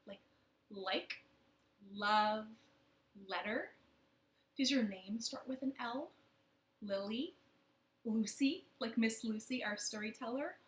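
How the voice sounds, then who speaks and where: A woman's voice: a little high, roomy sound. A woman leading a children's storytime from her living room, playful and sing-song with rhymes and silly voices.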